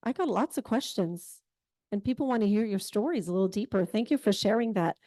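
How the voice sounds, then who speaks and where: A woman's voice: mid-range voice, animated, fairly quick, warm tone, no room sound, clean studio sound. A woman hosting a live panel discussion at an event, chatty and encouraging with her guests, speaking into a handheld microphone. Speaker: event host. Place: event hall with audience.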